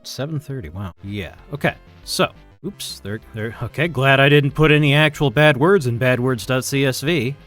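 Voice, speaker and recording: A man's voice: mid-range voice, normal speed, a bit bright. A man thinking aloud in casual, rambling, self-deprecating commentary as he live-streams his programming. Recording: music playing behind the voice.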